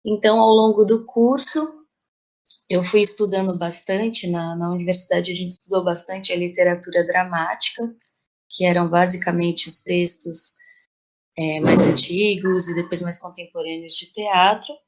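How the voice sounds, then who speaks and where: A woman's voice: lowish voice, fairly even intonation, normal speed. A woman with a Brazilian accent speaking reflectively and conversationally as a playwright being interviewed. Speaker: playwright guest.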